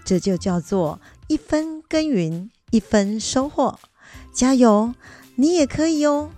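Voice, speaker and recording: A woman's voice: fairly high pitch, expressive, takes it slowly, dark tone, soft background music. A woman playing an eager, modest old grandmother who goes to school, in a children's radio drama, chatty and earnest. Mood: elated.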